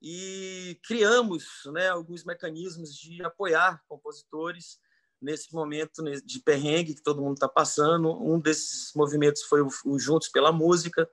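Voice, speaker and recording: Bright-voiced man on a video call. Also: fairly high pitch, steady tone, unhurried, dry recording. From Salvador, Bahia, Brazil. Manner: earnest, thoughtful, with frequent restarts. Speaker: panel guest, composer. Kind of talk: online panel discussion. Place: home room on a video call. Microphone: computer mic on a video call.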